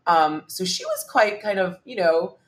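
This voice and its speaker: A woman's voice: fairly low pitch, very animated, measured pace. A woman in her forties from Hong Kong, telling family stories as a podcast guest and often restarting her phrases. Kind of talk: casual interview chat.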